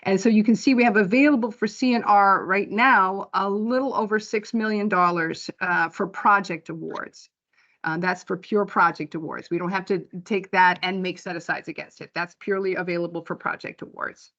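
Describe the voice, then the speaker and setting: A woman's voice: medium pitch, fairly even intonation, moderate pace, a bit bright. Woman director reporting figures, muffled audio. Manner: plain, practical, walks through figures slide by slide. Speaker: program director presenting finances. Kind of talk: board meeting report.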